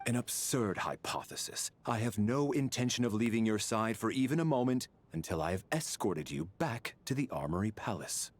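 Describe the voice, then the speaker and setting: An actor playing a stern, impatient military officer in a video game, speaking in a formal, clipped, disdainful way. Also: male voice, medium pitch, lively intonation, moderate pace, few pauses. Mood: dismissive. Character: haughty, impatient Snezhnayan officer, stern and duty-bound.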